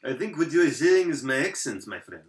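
A man's voice: a little high, big pitch swings, fairly quick. A man putting on a smug fake-French racer. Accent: put-on French. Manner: smug, haughty. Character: pompous French male race car driver.

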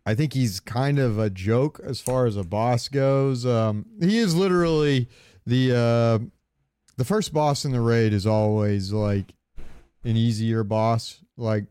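A man's voice: medium pitch, a little slow, dark tone, clear, resonant tone, no room sound, clean studio sound. A man co-hosting a podcast, chatting casually about video games in a rambling way with some swearing. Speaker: podcast co-host.

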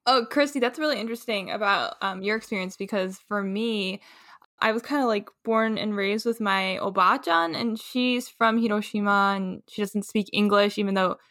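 A woman's voice: mid-range voice, moderate pace, fairly bright tone, no room sound, clean studio sound. A young adult woman guest on a podcast, talking casually and rambling with many filler words. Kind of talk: casual conversation.

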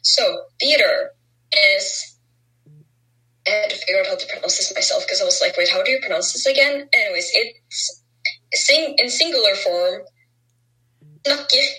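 A young woman speaks English with a southern Norwegian accent, her voice bright and forward. She co-hosts a casual podcast, chatty and quick to laugh at herself. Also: medium pitch, poor audio. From Norway. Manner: chatty, giggly, self-deprecating. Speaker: podcast co-host.

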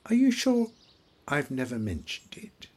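A man's voice: medium pitch, wildly swooping pitch, a little slow, a little mellow, breathy edge, exaggerated voice. An older man acting in an audio play as a forgetful elderly widower, rambling fondly and wistfully through memories. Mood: brighter, surprised. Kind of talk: audio drama acting, reminiscing.

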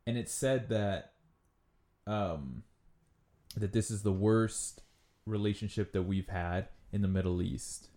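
Podcast co-host, male, deep and dark, rambling casual delivery, roomy sound. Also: slow pace, long pauses. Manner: loose, profane, trailing off and restarting. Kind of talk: casual chat.

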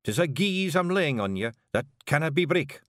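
A man voicing a small, stern female pixie clan leader with a sharp, commanding Scottish voice. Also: fairly high pitch, big pitch swings, normal speed, dry recording, clean studio sound, pitched above the performer's own voice. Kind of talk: audiobook character voice.

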